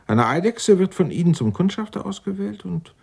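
A man's voice: sits high, sing-song, moderate pace, gravelly, a little mellow, slightly rough, heavily acted. An actor playing an eccentric, indignant, self-righteous clerk in his sixties in a radio drama, speaking in a florid, pompous, theatrical way. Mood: eager, amused. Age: 60s. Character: eccentric, indignant 64-year-old Dutch tax-law clerk, self-righteous. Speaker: murder suspect being questioned.

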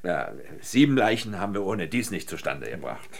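A man's voice: sits low, sing-song, moderate pace, creaky, heavily acted. An actor playing a brash, self-interested man in a comic radio drama, speaking in blunt interjections. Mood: dry, curious.

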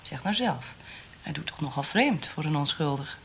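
An actress in a radio drama playing a cold, mocking torturer for a military regime, speaking with deliberate menace. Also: female voice, sits low, lively intonation, gravelly, slight background noise. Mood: irritated, hard.

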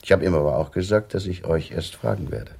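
An actor playing a conscientious police officer reporting in a matter-of-fact way in a radio drama. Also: male voice, low voice, expressive, a little fast, creaky, a little mellow, slightly rough, exaggerated voice. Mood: earnest, deferential.

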